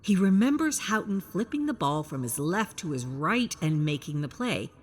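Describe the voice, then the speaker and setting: A woman's voice: lowish voice, sing-song, normal speed, slight background noise. A woman reading scripted science fiction story narration in the manner of an audiobook.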